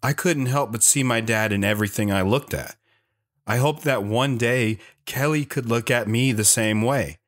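A man's voice: medium pitch, normal speed, close to an everyday voice. A man playing a reflective, stoic young soldier and father in an audio drama, with an understated delivery. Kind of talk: audio drama first-person narration and dialogue. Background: guitar music.

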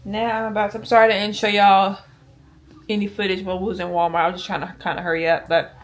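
A woman's voice: medium pitch, little pitch movement, fast talker. Chatty young woman vlogging. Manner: chatty, rambling, addresses viewers as y'all. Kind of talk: casual chat to camera. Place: home bedroom and a store. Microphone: handheld camera at arm's length.

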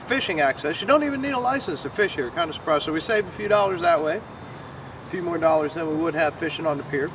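Middle aged guy hosting a fishing vlog, folksy, kinda high voice, far from camera outside. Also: background noise. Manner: folksy, informal. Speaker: host of a fishing show. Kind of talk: casual outdoor vlog talk. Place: outdoors on a waterfront fishing pier and bench. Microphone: camera a few metres away. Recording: distant outdoor camera sound under loud music.

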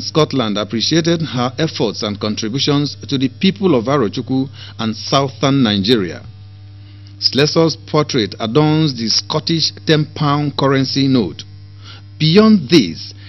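A man giving measured, formal scripted narration for a documentary. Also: medium pitch. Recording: muffled voice-over with music behind.

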